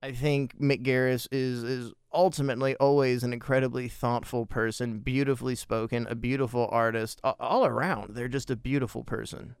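A man hosting a podcast, giving casual solo film commentary with emphatic, drawn-out phrasing and a gravelly voice. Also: medium pitch, steady tone, dry recording. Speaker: podcast host.

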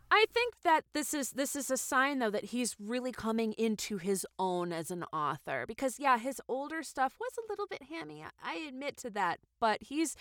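An actress playing a peppy, overenthusiastic amateur book podcast co-host, emphatic and playful in casual improvised comedy. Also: female voice, fairly high pitch, fairly bright tone, no echo, studio quality.

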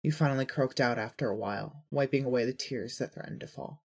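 A narrator reading scripted second-person fiction in an earnest, emotive storytelling manner, with a husky voice. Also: medium pitch, fairly quick.

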